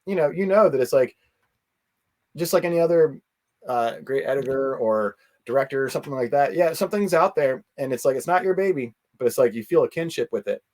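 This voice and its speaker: A man with an average-pitched voice talks as a guest in a casual interview. He is earnest and rambles, feeling his way haltingly through long, looping thoughts. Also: animated, a little mellow. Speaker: interview guest, convention organizer.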